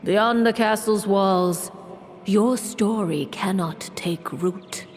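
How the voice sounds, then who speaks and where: Woman as a slow, solemn, mysterious prophetess. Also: mid-range voice, a little mellow, lightly acted. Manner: measured, formal. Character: mysterious, composed, solemn prophetess telling ancient lore. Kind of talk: video game character acting, storytelling. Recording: long reverb, music behind.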